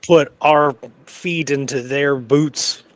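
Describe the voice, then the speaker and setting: A man's voice: on the high side, fairly even intonation, takes it slowly, a bit bright, no echo. Man chatting casually, dry close sound. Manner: checks in with "right?" often. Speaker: podcast co-host. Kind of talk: casual conversational history chat.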